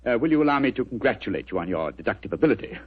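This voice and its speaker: An actor with a British accent playing a brilliant, composed Victorian detective in 1940s radio drama style, with precise, commanding diction. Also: male voice, fairly low pitch, sing-song, rapid-fire, slightly rough. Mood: brisk, assured. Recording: muffled, noisy 1940s radio recording.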